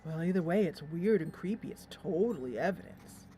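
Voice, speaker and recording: A woman's voice: lowish voice, lively intonation, moderate pace, warm tone, faint music behind, natural voice. A woman voicing a conspiracy-minded, stubborn teenage schoolboy in a visual novel game, emphatic and melodramatic. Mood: insistent.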